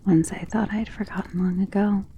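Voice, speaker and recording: A woman playing a centuries-old, tender and protective vampire in an intimate ASMR romance roleplay, speaking softly and earnestly, often in a whisper. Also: medium pitch, steady tone, fairly quick, dull audio, a little hiss or hum, natural voice. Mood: wistful, hesitant. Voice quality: soft, breathy, often dropping to a whisper.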